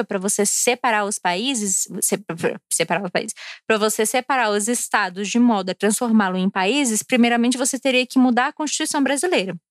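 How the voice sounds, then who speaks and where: Uma mulher de Brasília falando de forma casual e cheia de rodeios num vlog, um pouco alegre depois de beber. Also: female voice, fairly high pitch, no room sound, studio quality. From Brasília, Brazil. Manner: chatty, digressive, with frequent fillers and restarts. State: a little tipsy from drinking. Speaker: vlogger.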